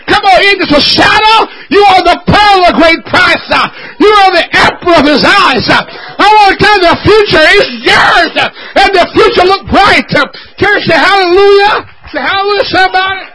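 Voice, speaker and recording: Very high-voiced, impassioned male preacher. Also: moderate pace, few pauses, background noise. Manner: shouting, voice breaking with emotion. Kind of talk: impassioned sermon. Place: church service.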